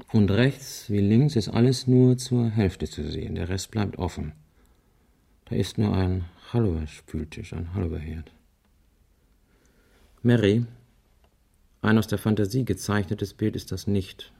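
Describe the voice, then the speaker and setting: An actor playing a wry, analytical husband in a radio crime drama, reasoning aloud in a deliberate, musing way. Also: male voice, on the low side, gravelly, dark tone, breathy edge, full character voice. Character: wry analytical husband puzzling out a child's grisly drawings.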